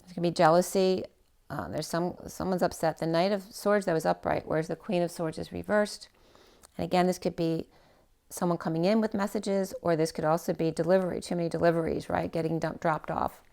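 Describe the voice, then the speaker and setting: A woman with a low, gravelly voice works through a tarot spread in an unhurried, rambling way. Her voice is going, and she often trails off mid-phrase and starts over. It sounds like an informal, self-recorded reading. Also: fairly even intonation, darkish tone. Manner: thinking aloud, rambling, trailing off and restarting. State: losing her voice. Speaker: tarot reader. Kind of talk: casual spoken tarot reading.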